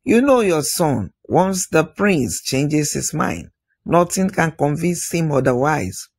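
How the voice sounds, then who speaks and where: A man voicing a calm, resigned queen in a folktale, reasoning matter-of-factly in a husky voice. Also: a little high, slow, heavily acted. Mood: resigned, firm. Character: calm, resigned queen, practical wife and mother.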